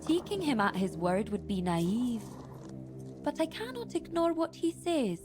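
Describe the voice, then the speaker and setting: Measured high-voiced chieftain. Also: female voice, sing-song. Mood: solemn, deliberating. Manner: deliberate, formal, weighty phrasing. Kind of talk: dramatic fantasy game dialogue. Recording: music behind the voice.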